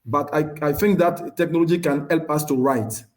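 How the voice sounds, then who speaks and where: A man on a video panel with a somewhat raised, mellow, soft-edged voice. He searches for words, stumbles over repeated starts and keeps circling back to his point. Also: on the high side, moderate pace. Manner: groping for words, repeating himself. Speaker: panel guest.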